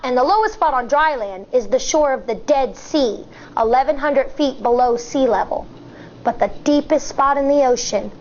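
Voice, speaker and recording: A woman, an elementary school teacher, reading a nonfiction picture book aloud to young children in a deliberate, explanatory way. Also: high-pitched, slight background noise. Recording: dull, muffled lo-fi sound.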